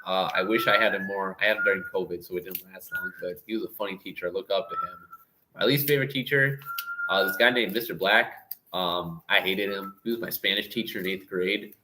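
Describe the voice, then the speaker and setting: A young man hosting a casual livestream, rambling and stop-and-start, a little hoarse. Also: sits low, fairly even intonation, a little fast, bright, forceful tone, a little hiss or hum, low-quality recording. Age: young adult. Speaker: livestream host.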